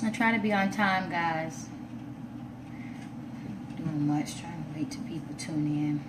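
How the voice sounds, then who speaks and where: A woman's voice: deep voice, takes it slowly. Woman livestreaming from a distant, noisy mic. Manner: informal, chatty, talking to herself while setting up. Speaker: livestream host. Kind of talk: casual live chat to online viewers. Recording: roomy, distant mic with loud background noise.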